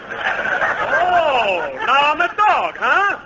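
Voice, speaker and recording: An actor playing a touchy, belligerent cab driver who badgers indignantly in a 1940s radio comedy. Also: male voice, very high-pitched, huge pitch swings, drawn-out words, very noisy, exaggerated voice. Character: touchy, belligerent cabbie forever taking offense.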